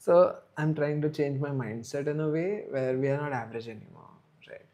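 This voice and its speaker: A man's voice: on the high side, fairly quick, darkish tone. A man, chatting casually on a live stream, rambling and answering viewers' chat. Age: 30s. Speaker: livestream host.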